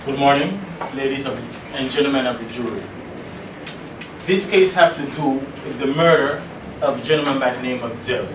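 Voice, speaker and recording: A man speaking as a prosecutor in a mock trial, delivering a formal statement in a deliberate, halting way. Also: medium pitch, distant mic. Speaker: law student acting as prosecutor. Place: echoey indoor room, distant microphone, noise behind. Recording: echoey room from afar, noisy.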